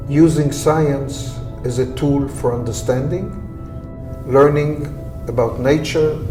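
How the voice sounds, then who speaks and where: A man speaking slowly and reflectively about science and cooperation in a documentary interview. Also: medium pitch. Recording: roomy sound with music bed.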